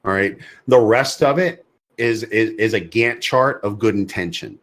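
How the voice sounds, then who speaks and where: A man's voice: lowish voice, measured pace, a bit bright. A man talking as a podcast guest, blunt and emphatic, repeating words for effect. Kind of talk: casual podcast chat.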